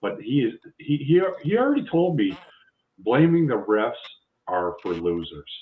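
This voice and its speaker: A man's voice: mid-range voice, moderate pace, slight background noise. Canadian man on a tinny line. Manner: swearing, animated needling. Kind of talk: casual sports banter.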